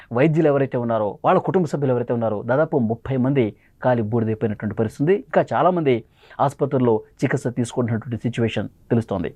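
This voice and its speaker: A man's voice: high-pitched, darkish tone, dry recording. A man presenting dramatic news commentary, emphatic and repeating phrases for stress.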